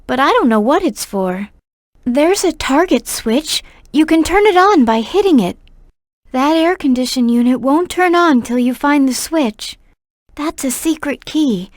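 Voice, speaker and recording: A woman voicing a gentle, earnest young girl in a video game, caring and polite in the way she talks. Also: sits high, no room sound, studio quality.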